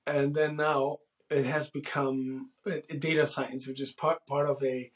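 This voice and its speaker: A man's voice: medium pitch, level tone, a little slow. A man in his forties, the host, talking thoughtfully to camera from a small room, often restarting his sentences. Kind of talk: casual monologue to camera.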